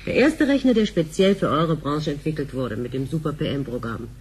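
Sharp-tongued, suspicious sci-fi wife. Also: female voice, sits low, animated, a little hiss or hum, low-quality recording. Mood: sardonic. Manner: brisk, sardonic. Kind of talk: radio drama acting.

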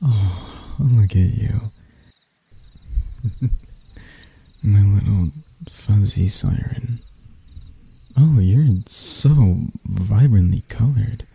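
A man voicing a sadistic, seductive male spider-elf predator in intimate ASMR roleplay, breathy and teasing with his endearments. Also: on the low side, warm tone, exaggerated voice. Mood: fond mockery. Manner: slow, teasing, purring endearments.

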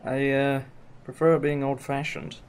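A man's voice: medium pitch, flat delivery, unhurried, close to an everyday voice. A man playing a wry, old-fashioned time-travelling alien leader in a fan audio drama, explaining things with some hesitation. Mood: wry, explanatory. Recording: amateur recording with clear background noise.

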